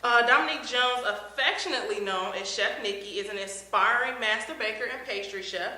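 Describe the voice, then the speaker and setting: A bright-voiced woman hosts a casual interview. She sounds upbeat, laughs easily and fills her pauses with "um" and "uh." The room adds a noticeable echo. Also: on the high side. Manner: upbeat, laughs often, many fillers.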